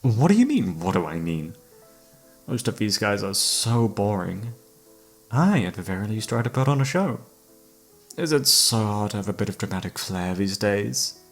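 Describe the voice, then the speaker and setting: Low voice, guy acting as a creepy flirty serial killer, theatrical and mock polite, asmr roleplay, music behind. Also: sing-song, moderate pace. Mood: boastful, indignant. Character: obsessive flamboyant male serial killer, menacingly flirtatious. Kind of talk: scripted ASMR roleplay audio drama.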